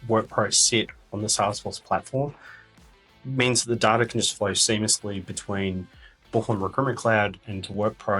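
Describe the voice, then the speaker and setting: A man's voice: lowish voice, a bit bright, low-quality recording. A man talking conversationally about business as an executive being interviewed. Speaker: interviewee, regional company executive. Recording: music behind the talk. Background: background music.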